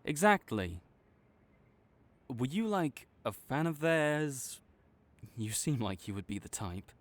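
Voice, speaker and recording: A man's voice: mid-range voice, sing-song, slow. A man acting a cocky, teasing but secretly caring supervillain rival in a dramatic audio roleplay, bantering and exasperated. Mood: grudgingly concerned. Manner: bantering, exasperated, faltering into awkward concern.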